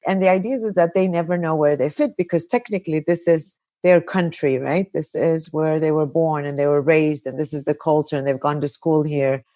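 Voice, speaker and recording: A woman's voice: sits low, steady tone, brisk, hardly any pauses, dark tone, no echo, clean studio sound. A woman podcast host and counselor, talking in a chatty, anecdotal way full of "like" and "you know.". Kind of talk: casual podcast conversation. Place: Kuwait.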